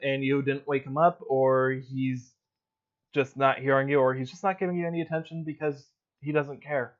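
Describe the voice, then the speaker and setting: A man narrating as game master in a tabletop roleplay, relaxed and full of fillers. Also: medium pitch, normal speed, lo-fi sound.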